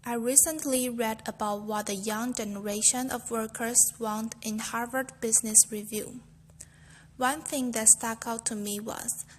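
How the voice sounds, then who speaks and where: A woman's voice: a little high, a little hiss or hum. A young woman, a university student, reciting a memorized speech in a careful, earnest storytelling way. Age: young adult.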